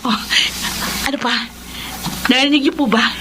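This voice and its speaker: A woman playing a sweet, excitable young daughter in a Filipino radio drama, with a Filipino accent and a bubbly, emotive, girlish manner. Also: high voice, normal speed, lightly acted. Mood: perky, proud. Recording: noisy radio drama audio.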